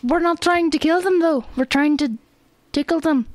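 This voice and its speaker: A woman's voice: high-pitched, moderate pace, darkish tone, no room sound, lightly acted. A woman playing a small, eager, naive leprechaun cattle rustler in a comedy radio play. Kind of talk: improvised comedy radio theater.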